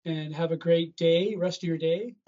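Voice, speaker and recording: A man's voice: fairly high pitch, steady tone, normal speed, mellow. A man from North America interviewing a guest, asking questions politely and thanking him for his time. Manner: polite, easygoing, winds down with thanks. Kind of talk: phone interview. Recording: dull lo-fi line.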